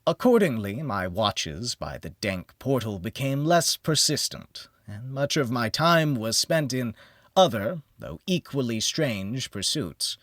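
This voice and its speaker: A man narrates a first-person gothic horror audiobook in a theatrical style. His mid-pitched voice moves slowly and deliberately, sinking into hushed phrases and rising into emphatic ones with a very animated range. The sound is dry, with no sense of room around him. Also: no echo.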